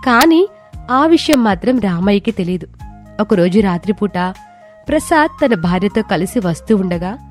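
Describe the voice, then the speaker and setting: A woman storyteller reading a scripted moral tale as narration. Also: medium pitch.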